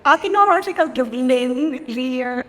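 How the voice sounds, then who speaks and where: A woman from the Philippines moderating a panel, speaking reflectively with many pauses and fillers. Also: high voice, animated, fairly bright tone. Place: conference hall. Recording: long hall reverb.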